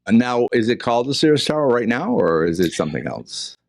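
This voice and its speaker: A man's voice: mid-range voice, big pitch swings, fairly quick, creaky, no room sound, studio quality. A man in his early sixties with a Boston accent, hosting a casual interview in a rambling way that repeats words. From Boston, New England. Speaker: interview show host.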